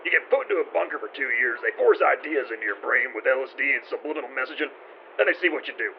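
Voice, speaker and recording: An actor's gravelly, gung-ho conspiracy theorist. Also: male voice, mid-range voice, animated, racing. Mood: intense, conspiratorial. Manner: intense, conspiratorial. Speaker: caller leaving a voicemail. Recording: muffled, noisy lo-fi voicemail.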